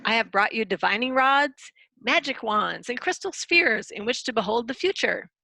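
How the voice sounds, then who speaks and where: A woman's voice: a little high, expressive, normal speed, creaky, bright, forceful tone, full character voice. A woman playing a proud, defensive royal wizard in a storybook readers theater, reciting in a grand, declamatory way. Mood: boastful.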